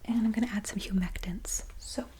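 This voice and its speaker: A woman speaking in a very soft, breathy whisper, gently and soothingly reassuring as in an ASMR personal-attention roleplay. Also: mid-range voice, fairly even intonation, brisk. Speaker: ASMR creator playing a barber.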